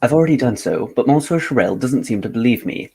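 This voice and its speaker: Radio-play gentleman detective, played by a man: composed, formal, fast-talking, with a husky edge. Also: medium pitch, animated, heavily acted. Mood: composed explaining. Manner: formal, unruffled. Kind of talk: old-time radio drama acting.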